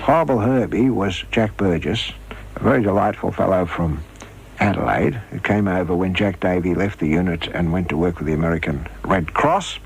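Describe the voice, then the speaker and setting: An older man with a husky, gravelly voice, telling anecdotes in an animated way. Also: low voice, normal speed, faint music behind, low-quality recording. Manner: animated storyteller, acts out dialogue. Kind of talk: reminiscing anecdotes with acted quotes.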